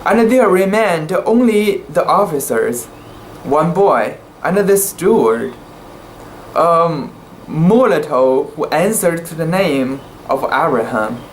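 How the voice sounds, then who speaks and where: A narrator giving an amateur dramatic reading of a sea story, with deliberate, theatrical phrasing and occasional stumbles. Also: mid-range voice, animated, a little hiss or hum, rough recording.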